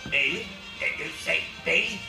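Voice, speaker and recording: An actor playing an angry, brawling husband in a live radio play, gravelly and threatening. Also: male voice, on the high side, lively intonation, normal speed, over music. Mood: menacing anger. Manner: threatening, barking. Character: angry, brawling husband defending his wife. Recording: echoey hall from far off.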